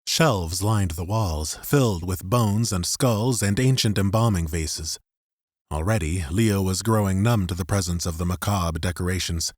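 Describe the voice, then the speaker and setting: A low male voice reads scripted fiction as an audiobook narrator. The recording is very dry and close, like a studio microphone, with the story told in third person. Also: darkish tone, no room sound.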